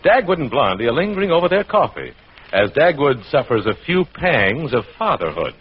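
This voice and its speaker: A man's voice: mid-range voice, sing-song, fairly quick, husky. A man narrating a scene as announcer in a 1940s radio comedy style. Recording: muffled, hissy old radio recording.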